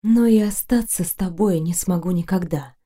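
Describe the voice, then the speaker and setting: A woman's voice: on the low side, normal speed, dark tone, close to an everyday voice. A woman voicing an earnest young fairy woman in an audiobook, sorrowful yet resolute, with an emotional, pleading manner. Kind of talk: audiobook character voice.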